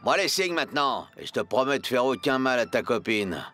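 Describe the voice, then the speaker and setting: A scheming cartoon fairground boss, blustering and theatrical. His pitch swings widely as he bullies and coaxes, with music clearly behind him. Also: sing-song, a little fast, pushed and bright. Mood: menacing. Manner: blustering, theatrical, switching between coaxing and threats. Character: scheming, bullying male fairground boxing boss. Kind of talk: French animated cartoon acting.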